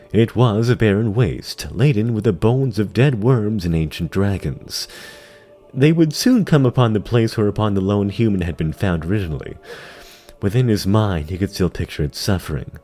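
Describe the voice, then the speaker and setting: Low-voiced man, very expressive fantasy narration. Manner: grave, deliberate, theatrical. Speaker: narrator of a fan audio drama. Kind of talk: dramatic scripted fantasy narration.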